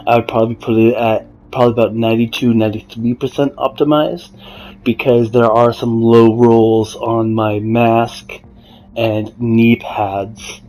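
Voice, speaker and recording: A man giving a casual, explanatory game walkthrough in a measured, somewhat halting way. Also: mid-range voice, little pitch movement, a little mellow, poor audio. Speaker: gamer presenting a character build guide. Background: music.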